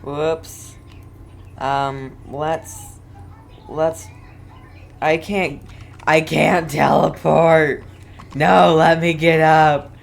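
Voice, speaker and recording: Very low-voiced, unhurried woman gamer. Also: fairly even intonation, creaky. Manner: thinking aloud, repeating words. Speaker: gaming video host. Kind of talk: casual playthrough commentary.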